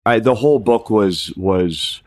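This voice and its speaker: Male guest, lowish voice, modest and hesitant, thinking aloud in relaxed conversational podcast style. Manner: hesitant, self-effacing, halting. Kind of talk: casual podcast chat.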